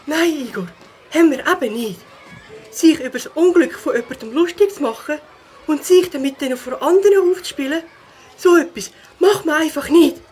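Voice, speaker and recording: A woman's voice: sits high, expressive, moderate pace, darkish tone. A woman voicing a shy, principled young catfish schoolchild in a children's audio drama, earnest and hesitant, with a Swiss German accent. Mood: firm, reproachful.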